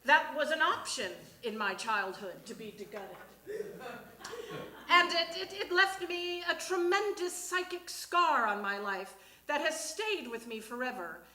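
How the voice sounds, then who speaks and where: A woman performing a comic personal monologue on stage, in a halting, conversational way with dramatic pauses. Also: high-pitched, pushed and bright. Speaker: reader at a live storytelling show. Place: small theatre stage. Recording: echoey live stage recording.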